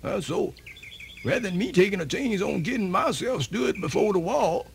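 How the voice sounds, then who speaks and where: An actor playing an old man from the American South, telling his life in a rambling, folksy, gravelly radio-theatre monologue with a Southern accent. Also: sits high, expressive, normal speed, slight background noise. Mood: animated, reminiscing. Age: older. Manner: rambling, repeats himself, folksy.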